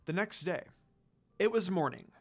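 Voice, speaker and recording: A woman reading fan fiction aloud as an expressive storyteller. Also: lowish voice, unhurried.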